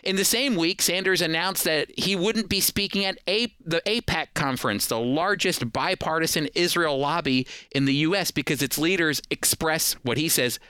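A man's voice: fairly high pitch, normal speed, hardly any pauses, bright, forceful tone, clear, resonant tone, no room sound. An American man hosting a radio program, delivering prepared commentary in a talk show style.

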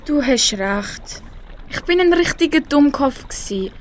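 A woman's voice: sits high, animated, slow. A teenage girl with a Swiss German accent voicing a proud, sulky rainbow fish in an amateur school radio play. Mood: remorseful. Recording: clear background noise.

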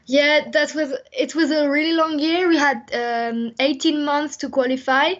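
A young woman with a French accent, speaking English as a second language, answering interview questions over the phone and pausing often to find her words. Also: high voice, steady tone. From France. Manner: halting, searching for words, many uh fillers. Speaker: interviewed athlete. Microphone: phone line. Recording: lo-fi phone line.

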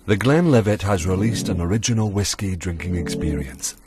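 A man with a very low, deep voice reads a commercial in an even, polished sales tone. Loud music plays under his voice throughout, as in a produced advertisement. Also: fairly quick. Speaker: advertisement narrator. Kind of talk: polished promotional voice-over.

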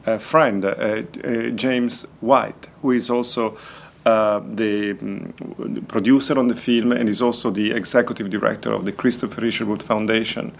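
A man with a fairly low, creaky voice talks as a filmmaker being interviewed. He explains things conversationally and haltingly, often repeating a word before finishing the phrase. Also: steady tone, a little hiss or hum. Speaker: documentary filmmaker, interview guest.

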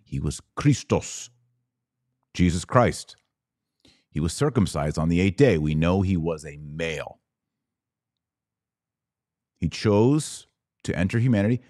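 A man hosting a podcast, giving a religious explanatory monologue in a didactic, emphatic way, pausing and repeating words for stress. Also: low voice, animated, a little mellow, no room sound, clean studio sound. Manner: didactic, emphatic, with deliberate pauses and repetition for stress. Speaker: podcast host.

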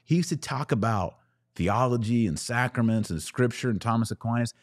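A man's voice: mid-range voice, brisk, dry recording. An American man hosting a casual livestream, chatting informally with playful slang as he answers viewers. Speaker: podcast host.

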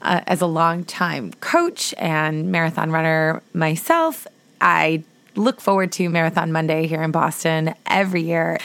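A middle-aged woman hosting a solo podcast, her voice hoarse, talking earnestly and with enthusiasm as a running coach. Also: sits low, animated, measured pace, a bit bright.

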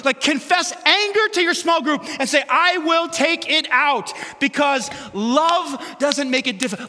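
A middle-aged pastor with a loud voice preaching, animated and self-deprecating, with sudden loud bursts as he tells stories. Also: very high voice. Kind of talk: sermon. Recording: long hall reverb.

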